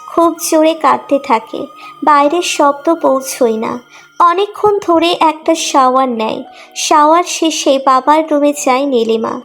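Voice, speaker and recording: A woman's voice: high voice, unhurried, fairly bright tone, soft background music. A woman reading a scripted emotional romantic story as its narrator.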